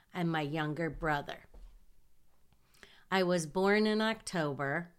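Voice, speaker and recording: A woman's voice: sits low, clean tone. A middle-aged woman from southern Michigan with a nasal voice, hosting her own podcast in a chatty, self-deprecating way. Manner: slow, chatty, self-deprecating with small laughs. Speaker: podcast host. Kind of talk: casual personal monologue.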